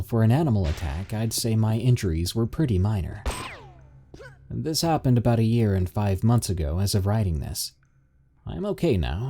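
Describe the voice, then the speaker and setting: A man reading submitted encounter stories aloud in steady, measured scripted narration. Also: on the low side, slight background noise.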